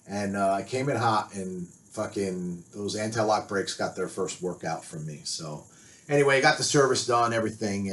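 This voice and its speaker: A man's voice: on the low side, fairly bright tone. A middle-aged man, a biker and author, telling a road-trip story to camera in a rambling, blunt way and swearing constantly.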